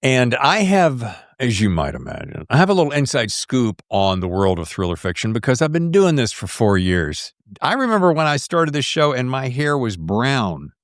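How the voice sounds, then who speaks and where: Middle-aged man, American accent, very lively pitch, dry close sound, folksy and effusive podcast host. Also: moderate pace, few pauses, dry recording. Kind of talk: casual podcast interview chat.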